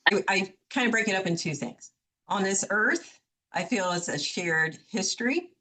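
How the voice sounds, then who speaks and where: A woman answering as an interview guest, thoughtful and measured, easing in with small fillers. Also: mid-range voice, expressive, sharp tone.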